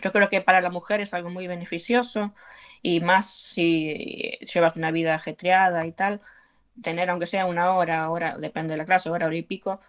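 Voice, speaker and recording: A woman's voice: lowish voice, flat delivery, moderate pace. An Argentine woman, a belly dance teacher, talking earnestly and encouragingly in an interview, with frequent fillers. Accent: Argentine Spanish. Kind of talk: conversational interview.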